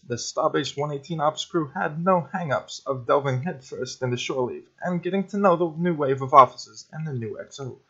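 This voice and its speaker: A man's voice: mid-range voice, a bit bright, lo-fi sound. A man reading scripted news reports as a narrator. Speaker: news reader.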